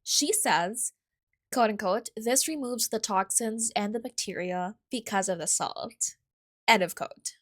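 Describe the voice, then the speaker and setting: A woman's voice: fairly high pitch, measured pace, fairly bright tone. A young woman from the Philippines with a Filipino accent, hosting a casual solo podcast in a chatty way, often correcting herself and adding asides. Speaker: podcast host.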